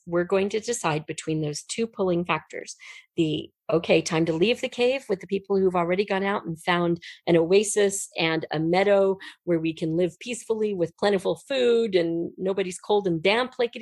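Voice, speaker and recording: A middle-aged woman giving an earnest, emphatic and expressive explanation as a guest in a conversational interview. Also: lowish voice, dry recording. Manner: earnest, emphatic, expressive storytelling with illustrative metaphors. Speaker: podcast guest, writer.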